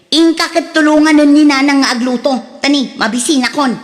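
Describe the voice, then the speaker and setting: A woman's voice: high voice, fairly quick, natural voice. A woman playing a bossy, scolding housewife with a curt, nagging manner in an audio drama. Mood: irritated, bossy. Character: bossy, scolding young housewife with a gambling habit. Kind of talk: radio drama acting in Ilocano. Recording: long reverb on the voices.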